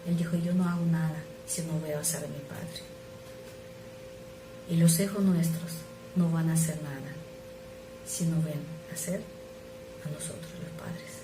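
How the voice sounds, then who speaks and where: Low-voiced non-native woman preaching. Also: steady tone. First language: not Spanish. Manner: earnest, measured, drops to soft asides. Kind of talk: sermon. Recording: echoey room with noise.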